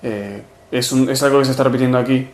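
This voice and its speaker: Argentine man, interviewee; flat delivery, halting with fillers, echoey room. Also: mid-range voice, slight background noise. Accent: Argentine Spanish. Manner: hesitant, many fillers and restarts. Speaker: movement organizer. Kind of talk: interview answers.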